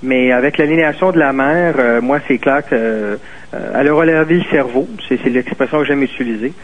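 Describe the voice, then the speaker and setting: A man calls in to a radio show and speaks. He hesitates and restarts often, and his voice comes through a tinny, narrow phone line at a middle pitch. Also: fairly quick. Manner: hesitant, many fillers and restarts. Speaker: caller. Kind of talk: call-in radio talk.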